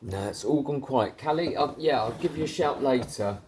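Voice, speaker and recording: A man's voice: medium pitch, normal speed. A man chats informally, thinking aloud as he shows and explains radio gear like a hobbyist presenting a video. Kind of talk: casual chat, showing and explaining radio gear. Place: indoors at home beside radio equipment. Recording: very noisy room, loud radio static. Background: loud CB radio noise.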